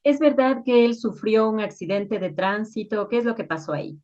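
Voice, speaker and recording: A woman's voice: medium pitch, expressive, moderate pace, darkish tone. A woman asking conversational questions as an interviewer. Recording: slightly dull lo-fi sound.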